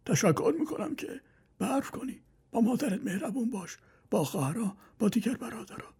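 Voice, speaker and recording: Casting: a feeble old dad, high, thin and sighing, for a storybook. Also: male voice, animated, gravelly, darkish tone, husky, exaggerated voice. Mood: pleading advice. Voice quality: thin, strained, old-sounding. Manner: sighing, preachy, quavering.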